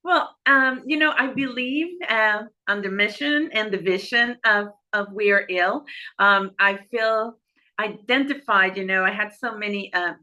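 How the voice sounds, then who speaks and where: Casting: middle-aged Puerto Rican-accented woman, Spanish native, earnest conversational interviewee who doubles back on words, very bright and forward voice. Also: medium pitch, measured pace. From Puerto Rico. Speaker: interview guest, neurologist and medical director. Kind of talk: conversational interview answers about life and work.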